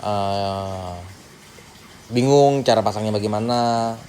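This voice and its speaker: A man's voice: fairly low pitch, slow, mellow. A man giving a casual hands-on tutorial, informally talking through each step as he works. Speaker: tutorial host. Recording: clearly noisy background.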